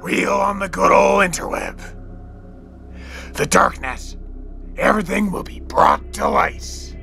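A theatrical, menacing crime boss in an audio drama. The voice is very forceful and gravelly, drawing words out slowly and taunting as it goes. Music plays faintly behind. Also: male voice, high voice, huge pitch swings, full character voice. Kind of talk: comic book audio drama acting.

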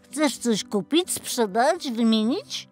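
A woman voicing a cocky old little car, a male gangster boss in a children's storybook, brisk, streetwise and commanding. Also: on the high side, animated, a little mellow, faint music behind, pitched above the performer's own voice.

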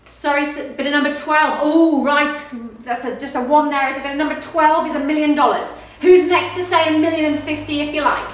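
Brief: high-voiced Australian woman auctioneer, brisk upbeat patter, echoey room, slightly off mic. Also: fairly even intonation, far from the mic. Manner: brisk, upbeat sales patter with rapid bid repetition. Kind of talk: live online property auction calling. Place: small office studio in front of a backdrop.

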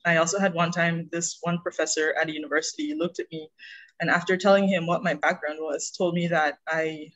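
A woman from Guyana, speaking calmly as a geologist panelist on a video call. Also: on the low side, brisk, poor audio. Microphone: video call webcam.

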